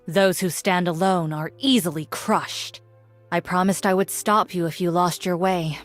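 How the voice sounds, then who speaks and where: A stern and regal ancient warrior woman played by an actress for a video game. She speaks slowly and formally, with haughty, wrathful weight, and music runs faintly behind her. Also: fairly low pitch, fairly even intonation, lightly acted. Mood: grave, reproachful.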